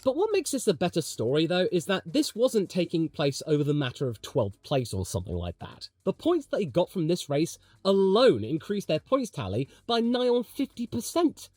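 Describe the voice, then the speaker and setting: Animated British man giving chatty commentary. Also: high voice, moderate pace, hardly any pauses, no echo. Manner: chatty, colloquial, emphatic. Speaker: motorsport commentary video host. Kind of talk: opinionated conversational video commentary.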